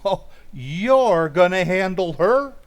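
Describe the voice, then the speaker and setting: An actor playing a bossy, meddling buddy in an old-time radio comedy, talking in an emphatic, goading way. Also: male voice, high-pitched, lively intonation, slow. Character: bossy, meddling male buddy egging on his friend.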